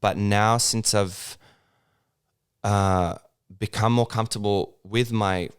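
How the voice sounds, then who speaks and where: A man in his thirties, born in Serbia and speaking, talking about his acting work in a relaxed interview, with lots of fillers and restarts. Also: lowish voice, takes it slowly, clear, resonant tone. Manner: hesitant, many fillers and restarts. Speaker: actor, interview guest. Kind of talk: casual interview conversation.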